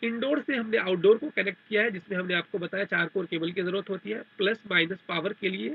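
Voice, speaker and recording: Male technical trainer, high-pitched with a gravelly edge, methodical step-by-step explainer, dull narrow recording. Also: fast talker. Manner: repetitive, step-by-step explaining with English technical terms. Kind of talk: explanatory tutorial over a diagram.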